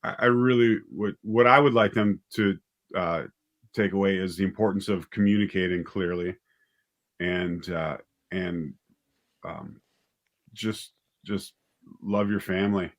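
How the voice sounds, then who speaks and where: A man being interviewed as a writer and director, talking casually and haltingly as he thinks aloud. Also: low voice, steady tone.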